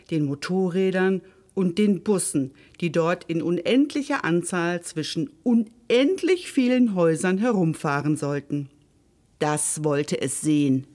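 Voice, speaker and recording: A woman's voice: fairly low pitch, darkish tone. A woman hosting and reading a scripted children's story expressively, speaking directly to her listeners. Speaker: storyteller and host.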